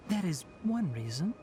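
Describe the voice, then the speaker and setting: A youthful male forest ranger from a video game, speaks in a lowish, very lively voice. He is articulate and knowledgeable, cautious and a little teasing, explaining things in a measured way over clear background music. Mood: matter-of-fact. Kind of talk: video game character acting.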